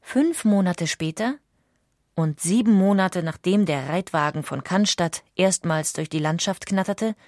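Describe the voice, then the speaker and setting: Female documentary narrator, low register, dry recording, scripted delivery. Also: no room sound.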